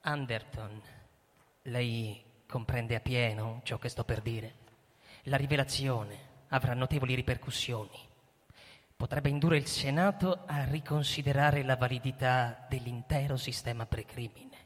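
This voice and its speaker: A man's voice: mid-range voice, a little slow, close to an everyday voice. A male actor playing a pompous, scheming army general in a radio drama, formal and emphatic like an orator. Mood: smugly formal. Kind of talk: theatrical radio play acting. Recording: long hall reverb, stage performance.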